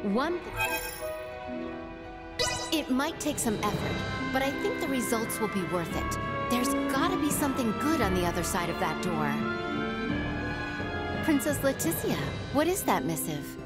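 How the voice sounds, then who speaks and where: Animated, self-assured scholarly woman. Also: on the high side, measured pace, pauses often. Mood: upbeat, curious. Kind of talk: video game cutscene acting. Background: music.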